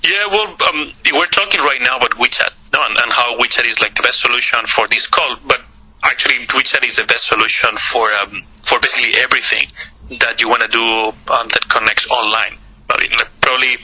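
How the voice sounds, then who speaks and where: A man from Spain with a Spanish accent, a guest answering interview questions over a voice call app, often halting and repeating words. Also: medium pitch, bright, forceful tone, husky, poor audio. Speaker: guest, accelerator partner.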